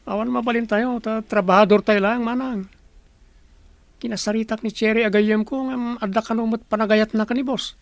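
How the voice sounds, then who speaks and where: A woman's voice: fairly high pitch, brisk, no room sound, natural voice. A woman with an Ilocano accent acting a gossipy household worker in a radio comedy drama. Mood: matter-of-fact, gossipy. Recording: noisy track with steady hum.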